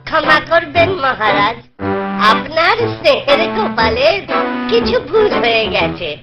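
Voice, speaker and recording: An actor voicing a devoted, witty court jester who pleads and flatters respectfully in a Bengali children's cartoon. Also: high voice, measured pace, lo-fi sound, over loud music. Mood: pleading.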